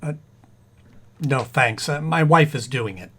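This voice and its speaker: A man's voice: mid-range voice, unhurried, a bit bright, slightly rough. A man playing a flustered, stammering, eager expectant father in an old-time radio comedy. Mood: nervous, flustered. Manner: stammering, repeats words when flustered.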